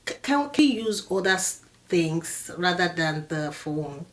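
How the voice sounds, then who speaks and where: A woman's voice: lowish voice, big pitch swings, measured pace. Middle-aged woman hosting a panel. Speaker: podcast host and moderator.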